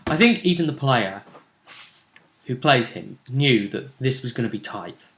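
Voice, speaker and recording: A man with a medium-pitched voice hosts a tabletop game show. He recaps fights casually, yet tells them like a dramatic story, leaning on pauses for emphasis. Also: expressive, fairly bright tone. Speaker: host and commentator on a tabletop roleplay vidcast.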